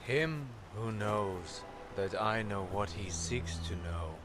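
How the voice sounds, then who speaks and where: An actor playing a cryptic riddle speaker in a fantasy game, deliberate and riddling. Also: male voice, fairly low pitch, animated. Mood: enigmatic. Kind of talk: scripted fantasy game dialogue. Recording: clear background ambient noise.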